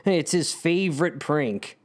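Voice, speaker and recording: A man's voice: a little high, lively intonation, takes it slowly. A man reading his own story as dramatic narration, speaking to the characters in a wry, theatrical, conspiratorial way.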